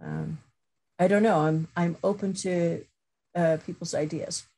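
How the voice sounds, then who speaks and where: A middle-aged woman professor giving a thoughtful webinar talk over a video call, pausing with many fillers and restarts. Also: on the low side, warm tone, low-quality recording. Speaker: guest lecturer, professor.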